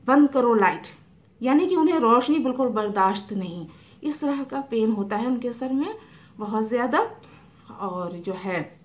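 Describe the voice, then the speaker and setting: A middle-aged woman homeopathic doctor, explaining remedies to camera in an unhurried way with many fillers, in a small room. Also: a little high. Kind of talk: health advice talk to camera. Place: small indoor room by a curtained window.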